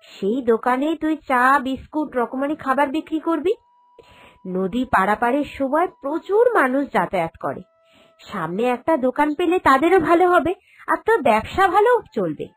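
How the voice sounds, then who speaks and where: A woman acts out a sensible young woman in a family cartoon. She speaks in a high voice with an earnest, reassuring manner. Quiet music sits underneath. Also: sing-song, normal speed. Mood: reassuring, explaining. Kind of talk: Bengali cartoon drama dubbing.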